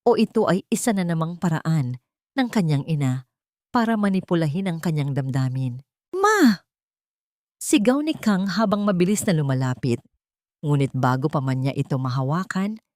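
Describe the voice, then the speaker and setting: A woman's voice: low voice, big pitch swings, moderate pace, darkish tone, no echo, clean studio sound. A woman with a Filipino accent narrating a scripted drama in a solemn, theatrical manner. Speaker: story narrator.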